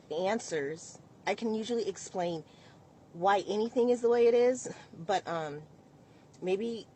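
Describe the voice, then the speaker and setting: A woman of forty-one giving a casual reaction, baffled and halting in her delivery. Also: medium pitch, mellow, a little hiss or hum.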